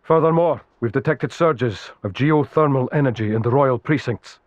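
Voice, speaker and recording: A man's voice: mid-range voice, normal speed, dry recording, dull audio, lightly acted. A man playing a dutiful royal adviser in a video game, formal and deferential. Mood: formal report. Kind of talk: dubbed video game cutscene acting.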